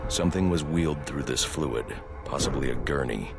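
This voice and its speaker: A man playing a grim masked vigilante detective in a video game, speaking in a gravelly, terse, stern way. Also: extremely low pitch, moderate pace, over loud music. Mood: grim, analytical. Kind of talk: dramatic video game voice acting.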